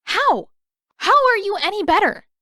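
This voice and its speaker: A woman voicing a sharp-tongued, defiant teenage girl in an audio story, challenging and indignant. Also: very high voice, very animated, a little slow, no room sound, studio quality, pitched above the performer's own voice, heavily acted.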